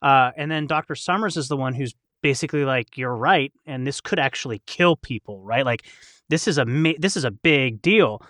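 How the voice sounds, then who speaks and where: A man's voice: medium pitch, moderate pace, fairly bright tone, dry recording, studio quality. Casual man talking things through. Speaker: cast member in a behind-the-scenes discussion. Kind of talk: casual discussion.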